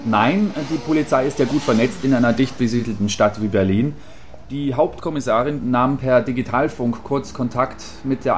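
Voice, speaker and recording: A man's voice: medium pitch, darkish tone, slight background noise, natural voice. A man playing an eager, deferential field reporter in a radio play, giving a slightly hesitant, chatty eyewitness account. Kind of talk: satirical radio play as live news report.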